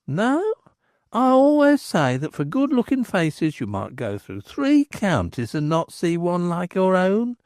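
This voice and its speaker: A man doing a sly, flattering innkeeper. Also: high-pitched, unhurried, no room sound, clean studio sound, pitched above the performer's own voice, full character voice. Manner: smooth-talking, teasing.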